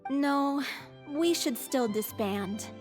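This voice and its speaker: A woman playing a frail, ailing young theatre troupe director in a video game, gentle, sincere and stubborn. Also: high-pitched, lively intonation, slow, soft background music. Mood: resolute.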